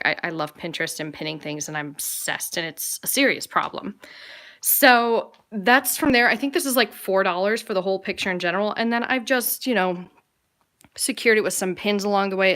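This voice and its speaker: Young American female vlogger, bright crisp-edged voice, mid pitch, enthusiastic, rambling, informal live Q&A delivery. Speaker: travel vlogger and travel agent. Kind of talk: casual live Q&A chat to viewers.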